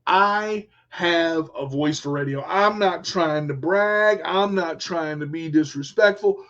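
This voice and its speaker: A man's voice: a little high, highs cut off. A man hosting his own radio show, giving an emphatic motivational talk to listeners, repeating key phrases and checking in with "okay?". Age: adult with a son. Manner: emphatic, repetitive, talks straight to listeners. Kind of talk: motivational monologue.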